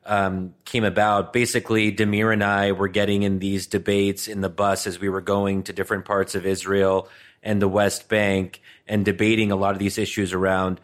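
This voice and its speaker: A man's voice: fairly low pitch, little pitch movement, normal speed. Rambling male podcast co-host. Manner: rambling, many fillers, storytelling. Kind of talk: casual discussion.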